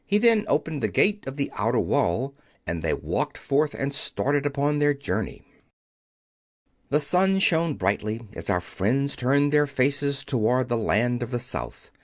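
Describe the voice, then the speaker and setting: A man's voice: medium pitch, sing-song, measured pace, no room sound. Man, storybook narration, very varied intonation, close dry recording. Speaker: audiobook narrator. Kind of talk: scripted children's story narration.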